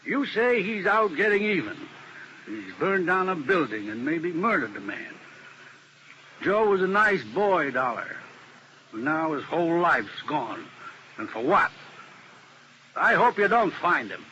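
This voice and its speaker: Bright-voiced anxious lawyer, old radio. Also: male voice, fairly high pitch, sing-song, a little slow, pauses often, full character voice. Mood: bitter, pleading. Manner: earnest, pleading, nervous. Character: anxious, defensive, unsuccessful male lawyer. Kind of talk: 1950s radio drama acting. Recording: muffled, hissy old radio recording.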